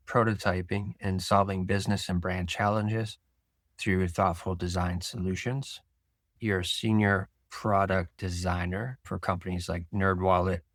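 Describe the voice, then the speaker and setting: Deep-voiced American man hosting, dry sound. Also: no room sound. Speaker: podcast host. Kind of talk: interview hosting, conversational with read-out introduction.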